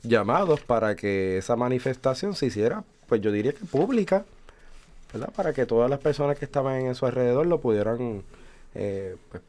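A man's voice: mid-range voice, lively intonation, a little fast, a little mellow. A man in his forties co-hosting a religious radio chat, explaining thoughtfully with hesitant fillers. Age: 40s. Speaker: radio show co-host.

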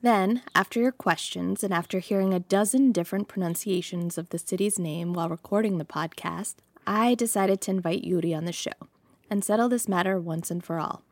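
A woman's voice: medium pitch, fairly quick, darkish tone, no echo. A woman raised in the United States, whose first language was Russian, hosting and narrating a podcast in a conversational, reflective way. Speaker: podcast host.

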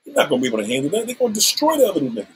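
A man's voice: fairly high pitch, huge pitch swings, racing, slight background noise. A man in his seventies ranting on a livestream, combative and profane, issuing threats and calling out rivals. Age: 70s.

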